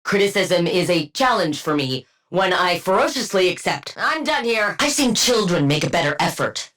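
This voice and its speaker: Casting: female game villain, genius scientist; clinical, condescending, sardonic, very animated intonation. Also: on the low side, moderate pace. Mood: dry, smug. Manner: precise, clinical, condescending, dry wit. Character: brilliant, arrogant, sardonic woman supervillain scientist. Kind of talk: video game character voice lines.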